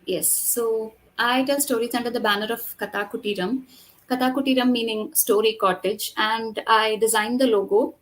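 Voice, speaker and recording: A woman from Kerala whose first language is Tamil, talking as a storyteller guest, explaining in a measured way with frequent fillers. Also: on the high side, fairly even intonation, low-quality recording. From Kerala, India. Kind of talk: conversational interview and storytelling.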